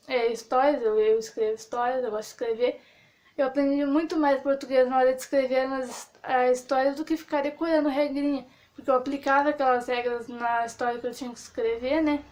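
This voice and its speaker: A woman's voice: high-pitched, steady tone, a little fast, darkish tone. A young woman giving her opinion in a casual vlog, rambling with many fillers and restarts. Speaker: vlogger.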